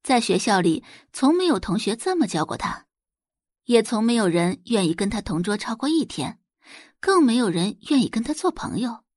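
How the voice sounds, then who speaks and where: Woman narrator, fairly high pitch, highly varied intonation, scripted audiobook storytelling, dry sound. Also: sing-song, normal speed, a little mellow, dry recording.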